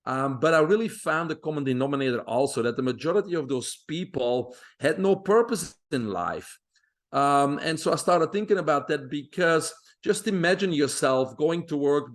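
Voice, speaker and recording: Belgian-accented man telling his story. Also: fairly high pitch. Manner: steady, self-assured storytelling with frequent "uh" pauses. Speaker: guest. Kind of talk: interview storytelling.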